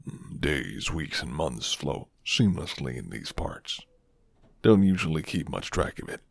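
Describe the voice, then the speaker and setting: Man playing a very deep, gravelly, flirty werewolf. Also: very animated, dry recording, exaggerated voice. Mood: pensive, quiet. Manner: slow, hushed, teasing. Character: flirty, gentle rugged male werewolf living alone in forest. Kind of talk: ASMR roleplay acting.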